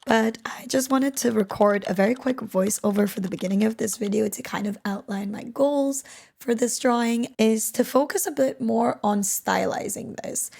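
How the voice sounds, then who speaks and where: A young woman talks through her drawing process in a relaxed, rambling way, often stopping to correct herself. Her voice is raspy and worn from a long stream the day before, with a gravelly edge. It sits a touch higher than most women's and sometimes sinks to a breathy near-whisper. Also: normal speed. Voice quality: raspy, hoarse-sounding today. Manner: rambling, self-correcting, soft and half-whispered at times. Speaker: digital artist. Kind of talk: casual talk-along while drawing. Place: at home, drawing on a tablet. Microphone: voice-over mic, speaker unseen, only hands.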